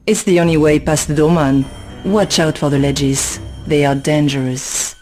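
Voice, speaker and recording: A woman's voice: low voice, fairly even intonation, moderate pace, darkish tone, soft background music, close to an everyday voice. Terse, world-weary female guide played by a woman. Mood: terse, wry. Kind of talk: video game cutscene voice acting.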